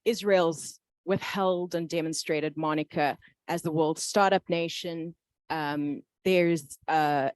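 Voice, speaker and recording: A woman's voice: low-pitched, a little mellow, dry recording. A woman moderating a business panel, polite, with frequent "um".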